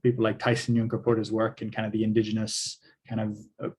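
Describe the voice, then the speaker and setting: A man's voice: fairly low pitch, completely flat delivery, quick pace, darkish tone, slightly rough. A man who grew up in Africa, hosting a podcast and talking in a hesitant, searching way with plenty of fillers. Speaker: podcast host. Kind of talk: casual conversation.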